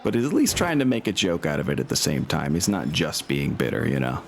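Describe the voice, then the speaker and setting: A man's voice: a bass voice, sing-song, a little fast, creaky, a little hiss or hum. A man narrating a tabletop role-playing game as game master, in an informal, improvised way.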